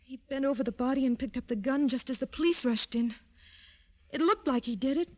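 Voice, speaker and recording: An actress playing an earnest, pleading female client in an old radio detective drama, polite and soft-spoken. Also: high voice, fairly quick. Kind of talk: 1940s-50s radio drama acting. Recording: vintage radio drama recording.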